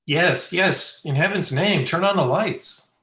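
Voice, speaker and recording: A man playing a wisecracking, excitable young partygoer in old-time radio style. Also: fairly high pitch, a little slow, reverberant. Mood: alarmed. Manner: sarcastic, excitable.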